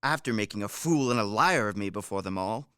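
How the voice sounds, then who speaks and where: A man's voice: fairly low pitch, lively intonation, fairly quick, pushed and bright, clean tone, no echo, clean studio sound. Man playing a shrewd, swooping manservant. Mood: confiding, coaxing. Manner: wheedling, instructing, emphatic. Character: shrewd male Bulgarian manservant, scheming, self-important, lecturing. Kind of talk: radio play stage acting.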